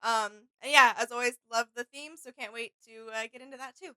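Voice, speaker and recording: A young woman with a high, bright voice. She reacts casually as a co-host, upbeat and agreeable, in a very dry recording. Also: fairly quick, clear, resonant tone, dry recording. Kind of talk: casual chat, fan reaction.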